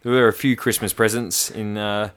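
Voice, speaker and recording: Lower-voiced Australian man, jokey co-host. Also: flat delivery, moderate pace, fairly bright tone. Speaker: podcast co-host. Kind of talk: casual banter.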